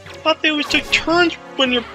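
A man's voice: very high voice, brisk, sharp tone, soft background music, pitched above the performer's own voice. A man voicing a boastful, panicky young boy hero in a comedic video game dub, exclaiming and narrating himself dramatically. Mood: panicked, excited.

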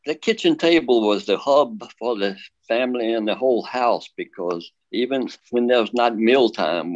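An older man looks back on his life in an interview-like, spoken recollection. His voice sits fairly low. The recording is dull and lo-fi, with little room sound. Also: no echo. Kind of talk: reminiscing in an interview.

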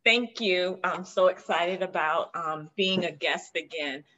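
A woman in her forties reading a picture book aloud to children as a guest storyteller on a video call. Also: fairly low pitch. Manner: slow, gentle read-aloud. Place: room with shelves of children's books. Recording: dull lo-fi video call audio.

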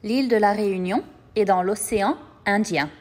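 A woman reading scripted lesson narration with careful, measured enunciation. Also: medium pitch, darkish tone.

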